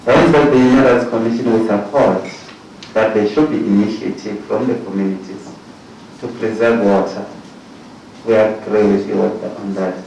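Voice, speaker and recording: A South African man on a commission panel puts his questions haltingly, repeating words as he goes. He sounds distant in a very echoey hall, with a faint hiss and a trace of music behind him. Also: lowish voice, steady tone, gravelly, a little mellow. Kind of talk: formal questioning at a hearing. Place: large hearing room.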